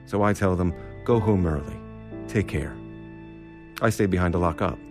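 Low-voiced, reasonable laundry owner. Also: male voice. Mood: sober, uneasy. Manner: measured, conciliatory storytelling. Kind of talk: radio drama monologue. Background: music.

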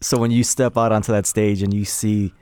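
A man's voice: lowish voice, little pitch movement, brisk, darkish tone, smooth, dry recording. A man hosting a radio interview in a studio, asking easygoing, open questions. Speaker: radio host.

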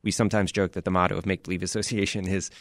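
Low-voiced man, halting interview guest. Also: little pitch movement, no echo, clean studio sound. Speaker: interview guest, audio drama producer. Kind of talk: casual interview talk. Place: dry radio studio.

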